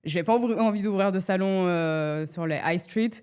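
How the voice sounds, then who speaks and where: A woman in her late thirties from France, a hairstylist talking as a guest in a casual interview, soft-spoken and reserved, with many hesitations. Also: fairly low pitch, moderate pace, clear, resonant tone. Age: 30s.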